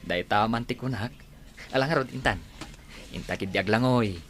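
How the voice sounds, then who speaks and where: A man's voice: fairly low pitch, normal speed, fairly bright tone, a little hiss or hum, lightly acted. A man with an Ilocano Filipino accent playing an encouraging, sociable companion in an audio drama. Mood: coaxing. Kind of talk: amateur audio drama acting.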